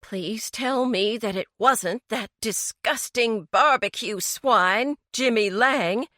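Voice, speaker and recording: A woman voicing a frantic, scolding middle-aged mother, high and shrill. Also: slow, pushed and bright, no echo, clean studio sound. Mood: outraged.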